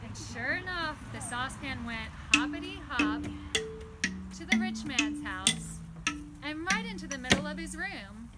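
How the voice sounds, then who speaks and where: A woman narrating a puppet show live outdoors as a storyteller, expressive and deliberate. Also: high-pitched, loud music behind the voice. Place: outdoors in a park.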